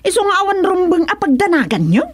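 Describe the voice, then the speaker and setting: High-voiced stubborn gambler wife, sighing and dismissive, for radio serial drama. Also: female voice, very animated, quick pace.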